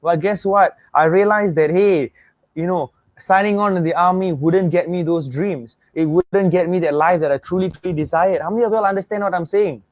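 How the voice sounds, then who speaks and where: A man's voice: sits high, normal speed, darkish tone, no room sound, highs cut off. A young Singaporean man hosting an online webinar, talking with energy, repeating himself and asking viewers to type replies. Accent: Singaporean English. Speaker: webinar host. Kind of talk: motivational webinar pitch.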